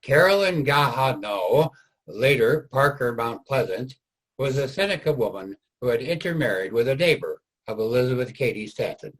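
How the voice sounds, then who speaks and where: A man delivers scripted historical narration for a reader's theater. His voice is of average pitch, with a husky, unpolished grain. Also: expressive, normal speed, a bit bright, low-quality recording. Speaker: narrator and writer of the play.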